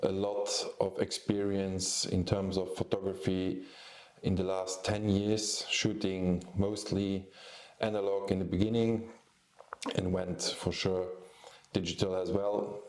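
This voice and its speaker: Slow, deep-voiced man from Luxembourg. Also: a little mellow, echoey room. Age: 40s. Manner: hesitant, many ums and restarts. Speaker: photographer and YouTube host. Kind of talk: casual vlog talk.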